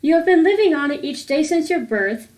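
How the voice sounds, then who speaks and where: A woman reading a rhyming picture book aloud for children in a deliberate, sing-song way. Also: sits high, expressive, darkish tone. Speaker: host of a children's read-aloud series.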